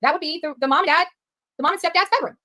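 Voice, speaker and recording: High voiced lady livestreamer talking fast, rambly, emphatic, repeats herself, dry sound. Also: pushed and bright, no echo. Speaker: livestream host reading viewer chat. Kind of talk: rambling live-stream chat.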